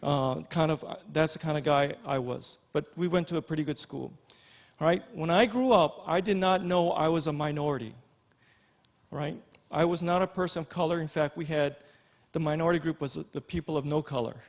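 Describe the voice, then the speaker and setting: Man, middle-aged, slightly high-pitched. Informal keynote speaker, folksy storyteller, pauses often mid-thought. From Hawaii. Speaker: Army general and physician, keynote speaker. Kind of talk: informal keynote speech with personal stories.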